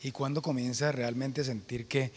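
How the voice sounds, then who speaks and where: Man, Colombian accent, slightly raspy, interviewer, deferential, wordy questions. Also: medium pitch, steady tone, normal speed. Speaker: interviewer, news director.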